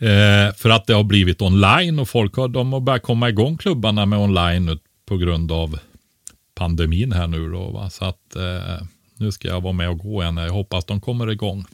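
A man's voice: sits low, no room sound, studio quality. A man co-hosting a podcast, explaining things casually and didactically, often tagging his statements with a short questioning word.

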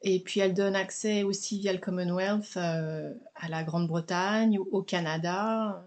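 A woman's voice: lowish voice, fairly even intonation, measured pace, a little mellow. A middle-aged French woman speaking as an interview guest, explaining things steadily with frequent fillers. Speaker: guest, mother and business founder. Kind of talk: casual conversational interview.

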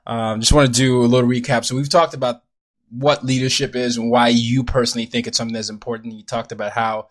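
A male podcast host leads the conversation with a curious, friendly manner, summing up what was said and asking follow-ups. His voice sits at an ordinary mid pitch. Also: level tone, quick pace. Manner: curious, friendly, recaps and asks questions. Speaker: interview host. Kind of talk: conversational interview hosting.

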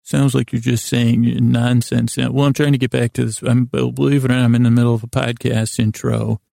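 Male sleep-podcast host, mellow and very creaky, stammering through lighthearted, self-deprecating tangents. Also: medium pitch, flat delivery, no room sound, clean studio sound. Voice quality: gravelly, creaky. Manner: halting, meandering, self-interrupting, stammering delivery. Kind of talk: rambling bedtime sleep podcast monologue.

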